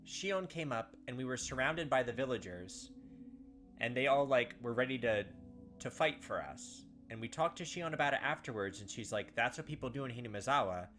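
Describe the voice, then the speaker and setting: A man with a bright, forward voice at an average pitch hosts a visual novel playthrough. He reads the narration aloud, then breaks off into animated, puzzled speculation, sometimes mimicking the characters. Faint music plays underneath. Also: moderate pace. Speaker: let's-play host. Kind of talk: gameplay commentary mixed with reading visual novel text aloud. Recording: music playing behind the voice. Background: game background music.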